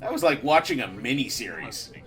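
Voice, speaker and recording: A man's voice: mid-range voice, very animated, brisk, fairly bright tone. Man playing a naive, eager, bouncy-voiced newcomer. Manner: chatty, blithely unbelieving. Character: naive, eager man convinced everything is scripted. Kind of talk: comedy web drama acting.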